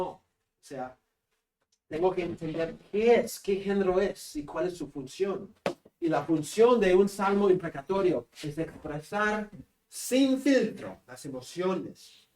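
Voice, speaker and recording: A man with a northern Peruvian Spanish accent giving a seminary class lecture, joking with students and pausing on fillers. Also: fairly high pitch, a little mellow, slight background noise. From Peru. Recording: echoey classroom from a distance.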